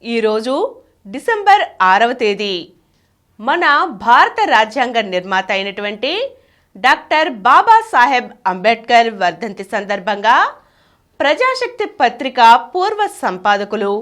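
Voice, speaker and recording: A woman's voice: fairly high pitch, bright, forceful tone. A woman presenting a scripted article in a formal, emphatic, phrase-by-phrase read. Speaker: channel presenter reading an article.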